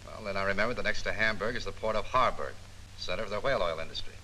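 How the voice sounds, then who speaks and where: An actor playing a confident, meticulous Army photo interpreter in a 1940s training film, explaining things precisely. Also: male voice, low voice, rapid-fire, sharp tone, rough recording. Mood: self-assured. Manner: precise, self-assured, explanatory. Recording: 1940s film soundtrack with faint hiss.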